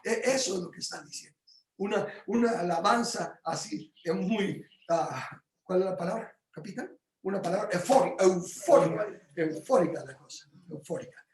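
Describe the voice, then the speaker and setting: A man teaching his home group about praise in a casual but animated way, jumping into loud exclamations and stumbling over fillers. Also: high-pitched, normal speed, reverberant, a little hiss or hum. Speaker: Bible teacher.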